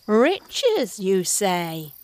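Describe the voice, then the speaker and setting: A woman's voice: mid-range voice, huge pitch swings, drawn-out words, darkish tone, slight background noise, full character voice. A woman playing a sly, greedy thieving creature, lazily opportunistic, in a fantasy comedy audio drama. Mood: scheming.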